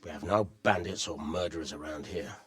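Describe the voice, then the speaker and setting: A man playing a gruff, blunt, weary village bailiff in a medieval video game. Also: a bass voice, a little fast, a bit bright. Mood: exasperated, defensive.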